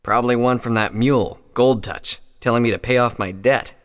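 A man's voice: mid-range voice, steady tone, brisk, lightly acted. A man voicing a sour, scornful male pony in a story, muttering and sardonic.

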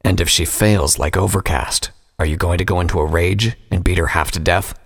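A man voicing a terse, stern male pony captain in a story, with a gravelly, husky voice. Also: low-pitched, fairly even intonation, brisk, in a large hall, voice pushed down. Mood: stern warning.

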